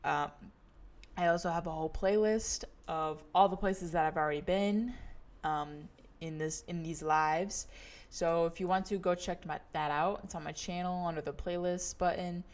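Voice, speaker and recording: A woman's voice: fairly low pitch, normal speed. A young woman from the United States hosting a travel livestream, rambling casually with lots of fillers and small laughs. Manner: rambling, many fillers and laughs, relaxed storytelling. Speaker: livestream travel vlogger host. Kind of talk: casual chatty livestream monologue.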